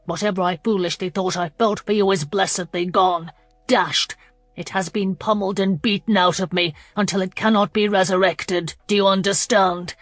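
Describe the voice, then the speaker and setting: A woman's voice: mid-range voice, steady tone, normal speed, no room sound, soft background music, lightly acted. A woman narrator voicing a proud, bitter aristocratic man, speaking in a clipped, acid, contemptuous way. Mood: furious. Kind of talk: audiobook character voice.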